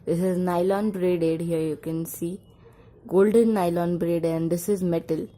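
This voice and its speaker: Lower-pitched, mellow woman presenting an unboxing. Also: steady tone, unhurried. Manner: simple, repetitive short phrases while demonstrating. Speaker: product review vlogger. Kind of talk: casual unboxing and demonstration.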